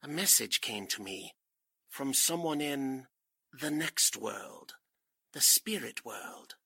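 An actor playing a suave, grandiose fake spiritualist cult leader in a 1940s-style radio drama, speaking formally and theatrically. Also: male voice, mid-range voice, expressive, slow, vocal fry, bright, forceful tone, husky, no room sound, studio quality, heavily acted. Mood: solemn, mystical.

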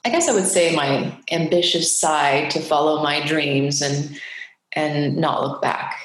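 A woman's voice: low-pitched, measured pace. A woman from Switzerland talking casually and reflectively about herself as an interview guest, with hesitant phrasing. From Geneva, Switzerland. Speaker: podcast guest, actress. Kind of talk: casual personal storytelling in an interview. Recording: very echoey room.

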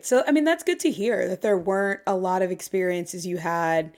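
A woman hosting a podcast, asking questions and summing up her guest's answers in a measured, empathetic way. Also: fairly low pitch, darkish tone. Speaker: podcast host. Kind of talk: interview questions and reflections.

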